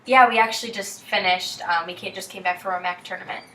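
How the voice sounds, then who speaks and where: A young woman, a student athlete, talking in a soft, hesitant way with many ums. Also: mid-range voice, fairly even intonation, fast, roomy sound, distant mic, slight background noise, low-quality recording. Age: young adult. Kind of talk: casual conversation.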